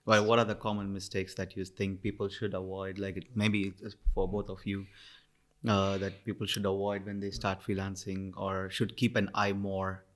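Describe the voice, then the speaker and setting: A man hosting a casual interview, asking questions haltingly with many pauses. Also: low-pitched, monotone, fairly bright tone.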